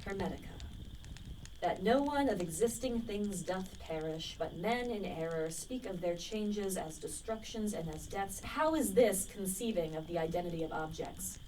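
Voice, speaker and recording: A woman's voice: mid-range voice, lively intonation, darkish tone, lightly acted. Witty young poet played by a woman, slightly distant. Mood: sceptical. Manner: bookish, dry, quick to retort. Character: young male poet Kit Marlowe, witty, restless, sceptical. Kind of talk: radio play acting. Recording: distant microphone with clear background noise.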